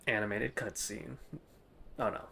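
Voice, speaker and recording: A man's voice: fairly low pitch, steady tone, a little slow, vocal fry, pushed and bright, a little hiss or hum. A man giving offhand, slangy commentary as he plays a video game. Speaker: streamer playing a game. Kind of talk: casual gameplay commentary.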